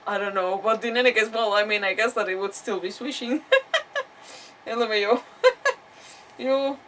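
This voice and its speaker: A woman's voice: mid-range voice, a little slow, fairly bright tone, far from the mic, slight background noise. A woman game streamer giving casual live commentary, thinking aloud with exclamations and mild swearing.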